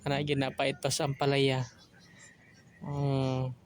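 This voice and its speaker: A man's voice: mid-range voice, completely flat delivery, takes it slowly, a little hiss or hum. A man with a Filipino accent, hosting an audio drama channel in casual, joking, rambling chat. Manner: joking, colloquial.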